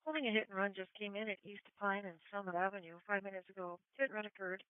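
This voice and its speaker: Female police dispatcher, smooth and matter-of-fact, mid pitch, heard through a phone-like radio channel. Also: steady tone, fast talker, no echo. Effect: two-way radio. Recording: tinny narrow scanner radio feed.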